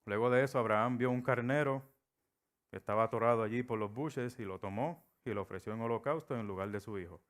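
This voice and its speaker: A middle-aged man from Puerto Rico with a Puerto Rican Spanish accent, preaching a sermon. His voice sits at an ordinary middle pitch. He tells stories and acts out the voices he quotes. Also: quick pace.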